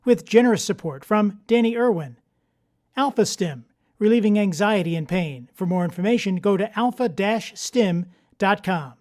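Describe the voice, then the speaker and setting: Announcer reading sponsor credits, mid-range voice, crisp promo delivery. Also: mid-range voice, expressive, normal speed, a little mellow. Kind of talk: scripted announcement.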